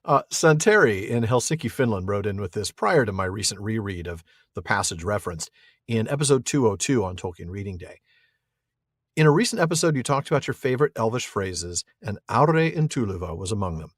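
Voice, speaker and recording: A man's voice: lowish voice, big pitch swings, fairly quick, husky, no echo, clean studio sound. A man co-hosting a podcast, reading listener mail and reasoning aloud in an easygoing way, chuckling often. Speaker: podcast co-host.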